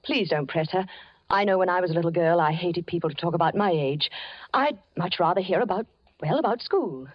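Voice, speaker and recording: A gracious young lady, husky and low-pitched, dry dull old radio sound. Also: expressive, brisk, no echo. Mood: coaxing. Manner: gracious, gently probing. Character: polite, curious young woman courted by a widower. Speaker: radio drama actress. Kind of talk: 1940s radio acting. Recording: dry, dull 1940s radio recording with faint music.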